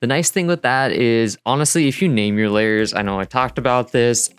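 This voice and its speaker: A man's voice: medium pitch, moderate pace, smooth. A man giving a casual, rambling tutorial voice-over in an informal, self-deprecating way. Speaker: digital artist narrating a dev vlog. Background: music.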